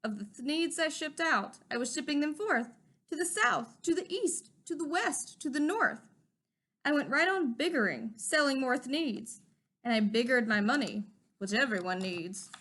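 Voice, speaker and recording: A woman with a high, bright voice reads a rhyming picture book aloud. She is a teacher and tells the story with expressive, bouncy rhythm. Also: normal speed.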